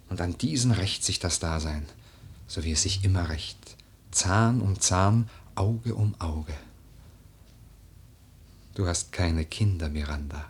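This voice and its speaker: An actor playing a brooding, eloquent, lordly widower in a literary radio play, with lyrical, deliberate, declamatory delivery. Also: male voice, low-pitched, a little mellow, slightly rough. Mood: admonishing, then ardent, lyrical. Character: brooding, eloquent widower, poetic and lordly, drawn to a young woman.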